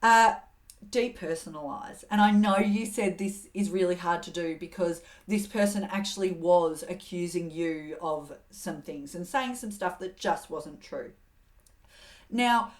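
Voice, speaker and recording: A middle-aged woman hosting an advice show, coaching in a steady, earnest way and acting out example phrases. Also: lowish voice. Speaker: host giving communication advice. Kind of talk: advice talk to camera.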